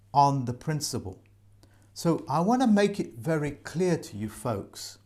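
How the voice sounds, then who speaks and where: A man's voice: medium pitch, darkish tone. A man giving viewers earnest, repetitive advice in an informal monologue, addressing them as folks. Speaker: presenter giving debt advice.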